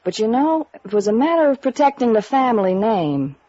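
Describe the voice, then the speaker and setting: A woman's voice: on the high side, big pitch swings, brisk, warm tone, no echo. An American woman playing a sassy, resentful, sardonic small-town office worker in a 1950s radio noir drama. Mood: wry. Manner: slangy, sardonic, catty. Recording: dull, dry vintage radio recording.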